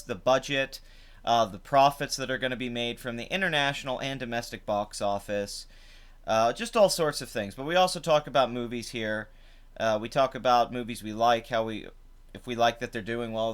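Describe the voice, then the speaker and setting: A young man hosting a casual live stream, chatting in a rambling way with many fillers, his voice rough after a night of drinking. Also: mid-range voice, fairly bright tone. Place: home.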